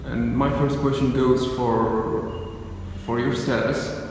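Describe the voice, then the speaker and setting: A man's voice: mid-range voice, level tone, slow pace, a little mellow. A polite, formal male interviewer asks long, careful questions and addresses his guest as sir. His voice rings through a very echoey room with a long reverb tail and a faint hiss in the background.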